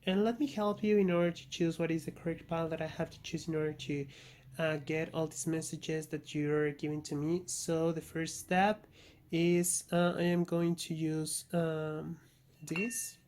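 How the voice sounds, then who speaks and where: A man's voice: on the high side, flat delivery, moderate pace, darkish tone, slight background noise. A man who is a native Spanish speaker, with a Spanish accent, informally hosting an oracle card reading and often searching for words. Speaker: tarot and oracle reader, channel host.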